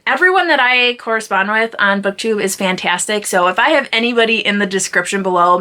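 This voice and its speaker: Bright-voiced woman vlogging casually. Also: mid-range voice. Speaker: book vlog host. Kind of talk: casual chatty vlog talk to viewers.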